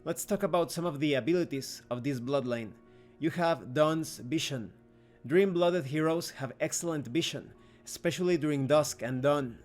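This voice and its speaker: A man's voice: fairly high pitch, moderate pace, faint music behind. A man hosting a review video, narrating from a script in an explanatory style. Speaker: video host, game reviewer.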